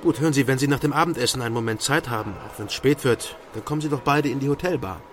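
A man's voice: mid-range voice, fast talker, background noise, lightly acted. An actor playing a weary, impatient man stranded in a plague city, in radio drama style. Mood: uneasy. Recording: music playing behind the voice.

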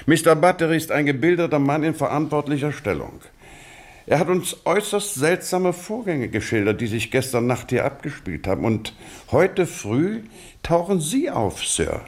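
An actor playing a stern, insistent English police investigator in a German radio drama, questioning in formal, pointedly courteous phrases. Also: male voice, on the high side. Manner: formal, pointed questioning with mock-polite address.

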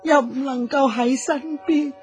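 A woman's voice: high-pitched, fairly even intonation, a little slow, lo-fi sound, over music. An actress playing an elderly, worried mother-in-law in a Cantonese radio drama, nagging and sighing plaintively. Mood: lamenting.

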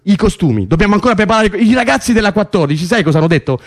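A pushy coaxing prisoner in radio drama, foul-mouthed, repeats himself, voice goes up and down a lot. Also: male voice, sits high, very animated, very fast, heavily acted. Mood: teasing. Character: bossy, coaxing, excitable male prisoner rehearsing a play. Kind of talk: naturalistic Italian radio drama acting.

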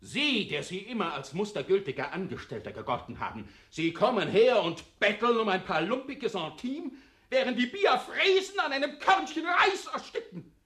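Radio drama: bullying, contemptuous male boss, high voice, sharp and forceful, theatrical scolding. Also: expressive, moderate pace. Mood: indignant scolding. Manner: contemptuous, blustering, insulting. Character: irate bullying male department head, scolding an employee. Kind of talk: theatrical radio play tirade.

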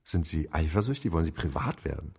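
A man's voice: extremely low pitch, animated, fast, vocal fry, darkish tone, breathy edge, exaggerated voice. An actor playing a ruthless, cynical middle-aged industrialist father in a radio drama, brusque, mocking and volatile. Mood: scornful, mocking.

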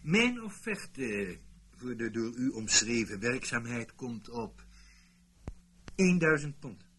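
A man's voice: medium pitch, sing-song, takes it slowly, a bit bright, breathy edge, full character voice. A man acting in a radio play as a reluctant, shrewd mercenary, speaking in a dramatic, sardonic way. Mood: cool, sardonic. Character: reluctant, shrewd mercenary male impersonator recruited by spies.

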